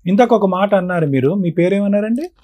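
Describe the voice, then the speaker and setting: A man's voice: high voice, lively intonation, fast talker, crowd noise. A man speaking as a panel host, questioning a guest in unscripted talk.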